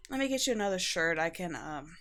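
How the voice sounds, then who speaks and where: Vampire hostess, played by a woman: lowish voice, soft-spoken, reassuring, a little awkward, ASMR roleplay. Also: animated, fast talker, slight background noise. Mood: caring, awkward. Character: gruffly caring female vampire hostess, awkwardly smitten.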